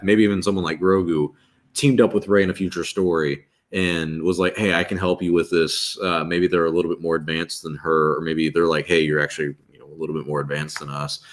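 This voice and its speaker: Young man, very deep voice, rambling livestream host, restarts often, reads chat out loud. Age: 20s. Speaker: streamer host.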